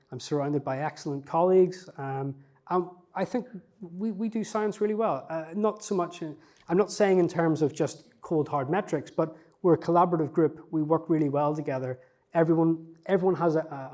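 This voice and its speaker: A man's voice: fairly high pitch, dark tone. A man, a researcher, answering interview questions in a reflective, self-effacing way, with frequent fillers and restarts. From not from Newcastle.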